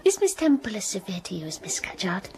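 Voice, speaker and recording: An actress voicing a defiant, passionate young schoolgirl in a radio drama, earnest and quietly indignant. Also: mid-range voice, big pitch swings, fairly quick. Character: defiant passionate young girl pupil Jane. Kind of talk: radio drama acting with narration.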